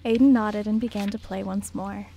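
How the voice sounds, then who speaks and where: A woman's voice: medium pitch, steady tone, moderate pace, gravelly, warm tone, a little hiss or hum, rough recording. A woman reading scripted narration for a radio play as its narrator.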